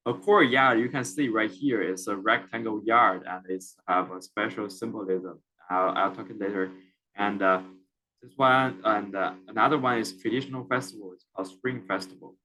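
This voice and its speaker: A young man from China with a Chinese accent, giving a class presentation in a halting way with many fillers and restarts. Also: on the low side, pushed and bright, low-quality recording. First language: Mandarin Chinese. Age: young adult. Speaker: student presenter.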